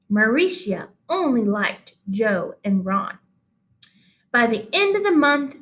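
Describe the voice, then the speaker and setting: High-pitched woman reading a children's story. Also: fairly bright tone. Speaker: teacher reading aloud to pupils. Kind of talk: expressive children's story read-aloud.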